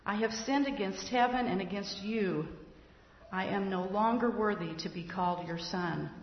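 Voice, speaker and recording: A woman reading a scripture passage aloud in church, slow and measured. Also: mid-range voice. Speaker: scripture reader. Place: church sanctuary. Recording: echoey hall, dull lo-fi sound.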